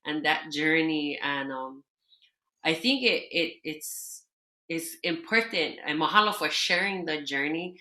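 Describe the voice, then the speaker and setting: Woman, slow halting speech, roomy echo. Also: deep voice, animated, pushed and bright. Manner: halting, grateful, hesitant restarts. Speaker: roundtable guest and parent.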